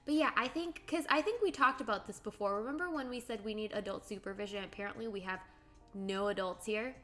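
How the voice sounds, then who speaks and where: A woman's voice: mid-range voice, brisk, fairly bright tone, faint music behind. A woman in her late twenties hosting a livestream, chatting casually and bubbly while she draws and reads out viewer comments. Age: late 20s. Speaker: livestream host. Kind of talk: casual chat while drawing on stream.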